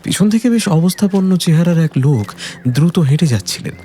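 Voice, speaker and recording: Guy narrating a mystery story, mellow voice, goes up and down a lot, music under it. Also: fairly high pitch, lively intonation, a little fast. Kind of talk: dramatic scripted detective story narration.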